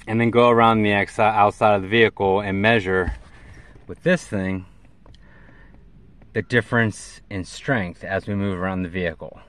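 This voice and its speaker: A middle-aged man giving a casual, hands-on how-to in a halting way, recorded on a handheld camera outdoors by a vehicle. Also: lowish voice, fairly even intonation, a bit bright. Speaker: CB radio hobbyist presenter. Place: outdoors in and beside a parked vehicle in an open field. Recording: clear background noise, outdoor ambience.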